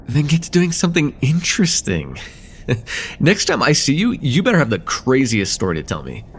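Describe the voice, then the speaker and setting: A man's voice: mid-range voice, huge pitch swings, normal speed, a little hiss or hum. A young man playing a friendly, slightly nervous college student in a casual, stammering slice-of-life ASMR roleplay chat. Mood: playful. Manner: hesitant, stammering, self-deprecating, casual.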